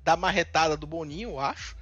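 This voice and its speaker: A man's voice: a little high, fast, a bit bright, faint music behind. A man from Northeast Brazil, co-hosting a casual commentary chat and airing strong opinions with frequent restarts. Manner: talkative, many restarts, indignant opinions. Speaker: co-host.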